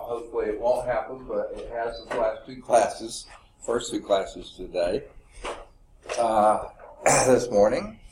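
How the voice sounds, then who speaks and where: A man's voice: medium pitch, a little mellow, slight background noise. A man teaching a math class in a classroom, sounding stuffed up from sneezing, talking conversationally and often checking in with "okay". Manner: conversational, repeats points, says okay often. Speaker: math instructor. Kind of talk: classroom lecture.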